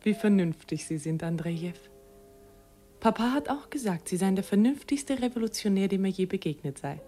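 An actress playing a dreamy, innocent teenage girl, a governor's romantic daughter, gentle and wistful in a radio drama. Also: medium pitch, lively intonation, moderate pace, faint music behind, natural voice. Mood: dreamy, coy.

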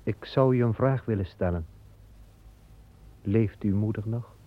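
A calm, unbending angel who keeps the garden in an old radio play. He speaks slowly in a lowish voice. The sound is narrow and tinny. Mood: stern. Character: stern male angel gardener of Eden.

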